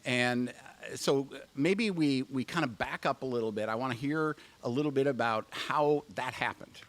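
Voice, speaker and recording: A man's voice: medium pitch, moderate pace. A man hosting a podcast interview, eager and upbeat, with frequent "um" and "uh" as he leads into his questions. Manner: eager and upbeat, with hesitant fillers. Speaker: podcast host.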